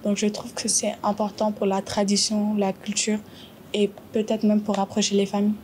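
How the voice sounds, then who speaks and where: A young woman answering in a classroom book discussion, hesitant and pausing often on filler sounds. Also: medium pitch, completely flat delivery, a little mellow, a little hiss or hum.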